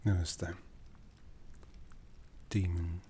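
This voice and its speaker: A man playing an ancient, brooding vampire lord in an ASMR roleplay, speaking in a breathy, hushed, solemn voice that slips into whispers. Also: sits low, little pitch movement, slight background noise. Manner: deliberate, solemn, intimate. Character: ancient vampire lord, brooding, commanding, softly menacing, courtly. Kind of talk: ASMR roleplay, soft speech slipping into whispers.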